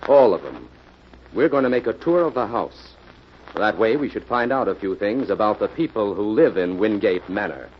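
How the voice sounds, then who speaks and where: An actor playing a shrewd, commanding detective in a 1940s radio mystery. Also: male voice, fairly low pitch, expressive, moderate pace, natural voice. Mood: decisive. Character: Jim, shrewd, methodical, commanding male detective hero. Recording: noisy, muffled old-time radio recording.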